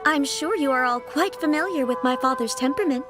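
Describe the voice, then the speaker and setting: High-voiced, refined young noblewoman. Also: lively intonation, fairly quick, music in the background. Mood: stern, commanding. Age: young adult. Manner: formal, polite, composed. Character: refined, resolute young noblewoman, commissioner's daughter. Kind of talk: video game voice acting.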